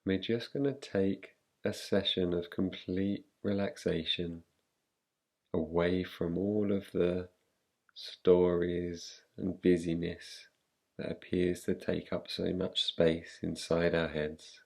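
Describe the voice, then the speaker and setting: A man guiding a relaxation meditation in a calm, unhurried, soothing way, leaving long pauses between phrases. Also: low-pitched, gravelly. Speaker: meditation guide. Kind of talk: guided relaxation, yoga nidra meditation.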